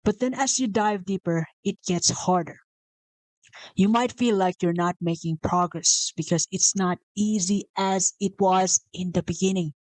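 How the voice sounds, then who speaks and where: A woman's voice: medium pitch, fairly even intonation, a little slow, darkish tone, no echo, rough recording. A woman from the Philippines with a Filipino accent, whose first language is Bisaya, hosting a motivational podcast in an earnest way, repeating herself and correcting herself as she goes. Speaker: podcast host. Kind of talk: motivational talk.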